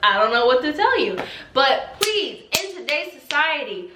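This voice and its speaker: A woman in her twenties, a faith vlogger answering viewer questions in a talkative, animated way, exhorting listeners with rhetorical questions. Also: high voice, normal speed, noisy background. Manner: talkative and animated, self-described loud yappy voice. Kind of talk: casual faith chat, preachy Q&A. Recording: echoey room.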